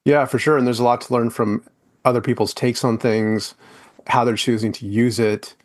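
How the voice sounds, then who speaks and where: A man's voice: mid-range voice, fairly even intonation, normal speed. A man hosting a podcast interview, talking loosely with lots of fillers and restarts. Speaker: podcast host. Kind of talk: casual interview chat.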